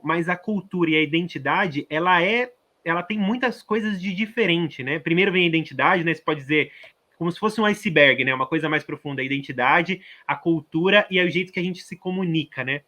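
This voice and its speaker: A man with a Brazilian Portuguese accent, co-hosting a radio show in casual conversation, chatty and full of tag questions. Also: fairly high pitch. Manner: chatty, warm-hearted, uses many tag questions. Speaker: radio co-host. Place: radio studio.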